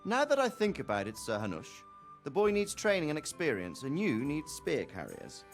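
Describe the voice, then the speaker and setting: An actor playing a formal, authoritative middle-aged noble knight in a medieval video game, speaking sternly. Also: male voice, fairly high pitch, wildly swooping pitch, moderate pace, soft background music. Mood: stern, persuasive. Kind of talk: video game drama acting.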